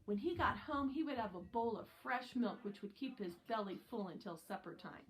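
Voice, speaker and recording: A woman's voice: on the high side, animated, moderate pace, rough recording. A woman narrating a children's novel aloud as a scripted reading. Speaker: audiobook reader.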